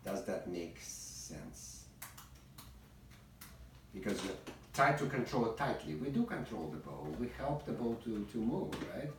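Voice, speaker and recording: Slow, patient Russian-accented man teaching. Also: fairly low pitch, sing-song. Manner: patient, coaxing, slightly broken English. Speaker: violin teacher. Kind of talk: private music lesson. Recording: echoey, distant and noisy room recording.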